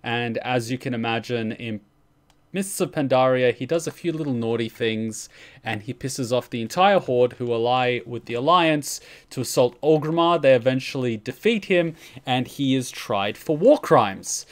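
A man's voice: medium pitch, animated, moderate pace. A man hosting a reaction stream, giving casual commentary from a room at home.

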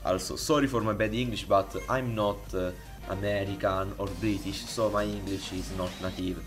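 A man with an Italian accent, speaking English as a second language, walks through a modding tutorial in a halting way, with many fillers and restarts, recorded on a desktop microphone. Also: lowish voice, steady tone, faint music behind. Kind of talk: casual screen-recorded tutorial. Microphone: desktop USB microphone.

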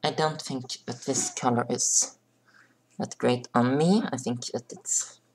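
A hoarse young man chatting casually to his webcam in a small bedroom, rambling and clearing his throat. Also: medium pitch, fairly even intonation, slow, a little mellow, a little hiss or hum, low-quality recording. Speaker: vlogger. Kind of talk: casual makeup vlog chat. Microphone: webcam close up.

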